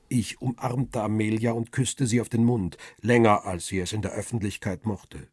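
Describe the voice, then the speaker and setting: An actor playing a middle-aged photographer and devoted husband, narrating a radio crime drama in a measured, reflective, literary way. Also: male voice, lowish voice, darkish tone, breathy edge, no echo, clean studio sound.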